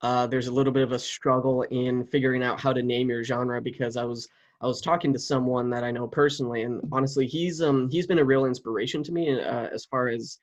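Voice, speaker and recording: A man's voice: medium pitch, fairly even intonation, fairly quick, highs cut off. Casual male interviewer, chatty and informal. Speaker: interviewer, content creator.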